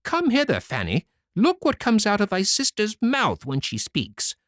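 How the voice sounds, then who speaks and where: A man voicing a greedy, quick-tempered mother who scolds loudly in a fairy tale reading. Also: high voice, huge pitch swings, dry recording, studio quality, pitched above the performer's own voice, exaggerated voice. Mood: scheming. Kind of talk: storybook reading.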